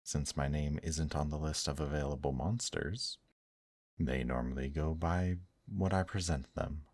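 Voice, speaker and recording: A man voicing a gentle, teasing male demon in an intimate ASMR roleplay, speaking softly and courteously to the listener. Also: a bass voice, steady tone, no echo, studio quality, heavily acted. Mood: soft, honored. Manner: slow, soft, courteous, affectionate teasing with endearments. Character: gentle, teasing male demon brothel worker, tender and attentive.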